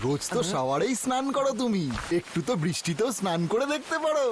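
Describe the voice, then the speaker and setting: A man's voice: sits high, very animated, fast talker, over music. A man voicing a playful, scheming old grandfather in a Bengali cartoon dub, with theatrical delivery. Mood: gleeful. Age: older.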